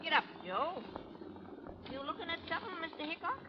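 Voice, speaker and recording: Brash Wild West woman driver: high, animated, folksy American drawl, vintage muffled radio drama. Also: brisk, noisy background. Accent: American, Western drawl. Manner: folksy, blunt. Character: brash, rough-talking frontier stagecoach driver woman, good-hearted. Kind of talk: 1930s-40s radio drama acting. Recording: dull vintage radio recording.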